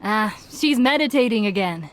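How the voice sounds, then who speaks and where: A woman's voice: mid-range voice, animated, normal speed, slight background noise, close to an everyday voice. An actress playing a cheerful, polite young woman serving tavern customers in an anime game, speaking playfully. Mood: explaining.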